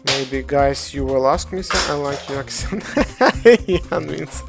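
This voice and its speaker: Slow, hesitant Ukrainian-accented speaker. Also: mid-range voice, creaky, loud music behind the voice. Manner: halting non-native English, frequent hesitations. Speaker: game developer streamer. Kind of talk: casual livestream answering chat.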